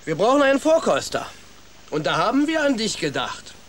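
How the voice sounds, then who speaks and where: A man playing a bold, cheeky young rat with a bossy, excitable manner in a children's radio play. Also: high voice, a little fast, slight background noise. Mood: magnanimous.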